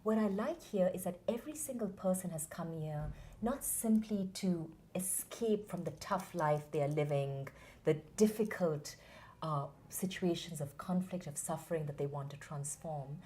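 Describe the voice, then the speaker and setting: A woman from India, giving earnest, measured and passionate answers in an interview. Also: on the low side, mellow. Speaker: interview guest, founder of a theatre academy.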